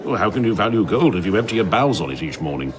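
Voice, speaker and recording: An actor playing a proud, mocking citizen of an ideal island in an audio drama, speaking with scornful amusement. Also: male voice, on the low side, very animated, racing, faint music behind. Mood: wry amusement.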